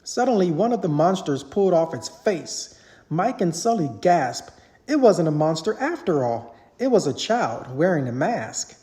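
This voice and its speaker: A parent narrates a children's storybook aloud. The delivery is animated, with pitch that swings freely through each sentence. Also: moderate pace.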